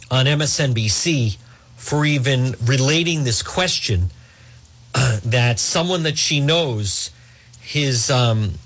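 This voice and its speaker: Man with lively, swooping commentary delivery. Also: medium pitch. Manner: halting, repetitive, addresses listeners as folks. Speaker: talk show host. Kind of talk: opinionated off-the-cuff political commentary.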